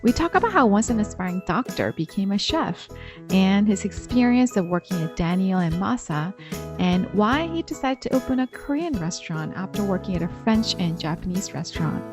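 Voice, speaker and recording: A woman's voice: medium pitch, expressive, fairly quick, over music. A woman hosting a podcast, reading an earnest intro and then interviewing a guest. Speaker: podcast host.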